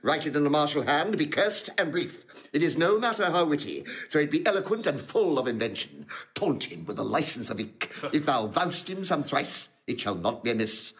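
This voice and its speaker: A boisterous older rogue in a classic comedy audio play. He is hearty and goading, with a fairly high voice that swoops theatrically as he eggs people on. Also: big pitch swings, moderate pace, a bit bright, low-quality recording. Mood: egging on. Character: jovial scheming older gentleman uncle, boisterous rogue. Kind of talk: classic stage comedy acting, recorded audio drama.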